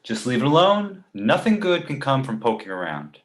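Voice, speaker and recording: A man's voice: medium pitch, sing-song, moderate pace, natural voice. Male comedy table read: actor as exasperated, cautious editor friend, widely swinging intonation.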